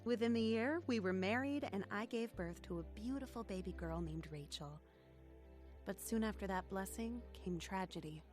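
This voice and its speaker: A woman's voice: medium pitch, normal speed, a little mellow, faint music behind, lightly acted. An actress playing an earnest young woman who reflectively narrates her testimony in a radio drama.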